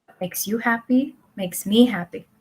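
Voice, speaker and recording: A woman's voice: mid-range voice, moderate pace, a little mellow, husky. A woman playing a blithely supportive middle-aged mother in a live play reading, placid and reassuring. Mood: placid, doting.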